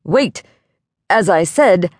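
A woman narrator plays a pompous male dowser in a storybook. He talks in fussy, formal phrases and gets flustered and excitable. The delivery is unhurried and the sound is close and dry. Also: lowish voice, dry recording. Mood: indignant. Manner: wordy, formal diction.

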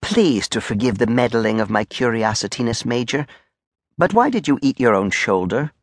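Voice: medium pitch, animated, normal speed, dry recording, clean studio sound, lightly acted. A performer voicing a meek, simple-minded soldier in a storybook, coy and obsequious, with muddled fussy phrasing. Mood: humble, polite.

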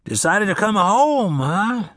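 A man puts on a cranky old Texas farmer, grumbling and dry-witted, his voice pushed up high. The sound is close and dry, with no room around it. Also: very animated, slow, fairly bright tone, no room sound, heavily acted. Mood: gruff.

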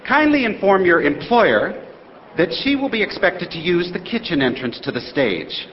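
An actor playing a stiff, officious hotel manager, formal and prim, easily flustered, in an audio drama. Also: male voice, sits high, sing-song, moderate pace. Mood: alarmed, officious. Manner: prim, formal, stammering under pressure. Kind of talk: theatrical audio drama acting. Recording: dull, reverberant stage recording.

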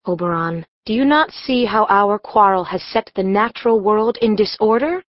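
A woman's voice: medium pitch, moderate pace, no echo, dull audio, lightly acted. A woman playing a proud, defiant fairy queen, regal and declamatory in a Shakespearean audiobook. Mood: reproachful.

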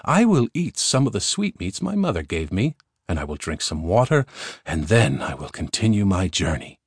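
A man's voice: low-pitched, big pitch swings, moderate pace, breathy edge, no room sound, clean studio sound, exaggerated voice. Man playing an earnest, determined young prince. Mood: calm.

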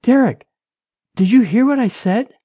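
An anxious wife in an audiobook thriller, performed by a male narrator, pitched well up and swinging widely. She pleads and stammers, worried for her husband. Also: expressive, unhurried, warm tone, breathy edge, no room sound, full character voice.

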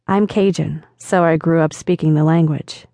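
A woman narrator voicing a flirtatious, self-assured man in an audiobook, with smooth, teasing delivery. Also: low voice, steady tone, moderate pace, mellow, no room sound. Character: flirtatious confident man chatting online. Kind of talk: audiobook dialogue reading.

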